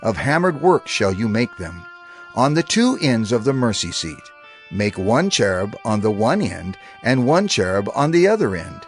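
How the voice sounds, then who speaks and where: Solemn man narrating scripture with lively pitch. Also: normal speed, soft background music.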